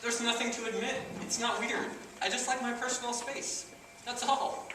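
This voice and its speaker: A man's voice: very high-pitched, steady tone, normal speed. A man playing an uptight, socially awkward man in a stage comedy, defensive and stiff, with precise wordy phrasing. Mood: defensive, indignant. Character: Steve, uptight socially awkward man guarding his personal space. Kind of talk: stage comedy acting, projected. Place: theater stage, recorded from the audience distance. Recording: echoey theater, far mic, noisy.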